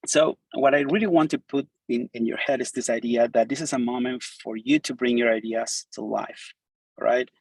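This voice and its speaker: A man's voice: mid-range voice, steady tone, normal speed, vocal fry, husky, no room sound, low-quality recording. A middle-aged man from Argentina with an Argentine Spanish accent, native Spanish speaker, giving an informal, enthusiastic meetup talk full of fillers and restarts. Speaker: conference speaker. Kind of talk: live meetup talk with demo.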